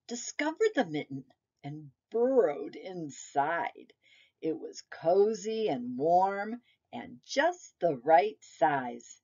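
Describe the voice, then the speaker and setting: A woman reading a picture book aloud to young children like a preschool teacher, with deliberate, expressive storytelling phrasing. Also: low voice, breathy edge, muffled recording.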